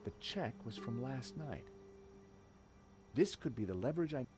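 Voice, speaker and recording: A man's voice: medium pitch, lively intonation, moderate pace, over music, natural voice. An actor playing a wry, inquisitive insurance investigator in a video game, conversational in his questioning and narration. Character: wry, inquisitive male insurance investigator, easygoing amateur sleuth.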